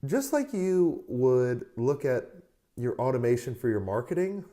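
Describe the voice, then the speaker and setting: Male podcast host, mid pitch, warm low tone, hoarse from a lost voice, energetic coach talking straight to camera. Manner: upbeat motivational coaching, talks straight to listeners. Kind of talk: solo advice podcast.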